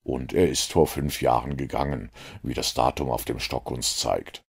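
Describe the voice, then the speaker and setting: A man voicing a self-assured, analytical detective in an audiobook, with a gravelly, husky voice and a measured, lecturing manner. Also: extremely low pitch, steady tone, heavily acted. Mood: self-assured, reasoning. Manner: measured, didactic, superior. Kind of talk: audiobook character reading.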